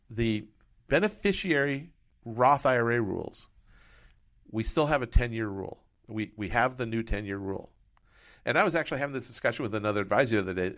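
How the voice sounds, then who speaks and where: A man working as a financial advisor, explaining retirement tax rules through first-person hypothetical examples. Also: mid-range voice.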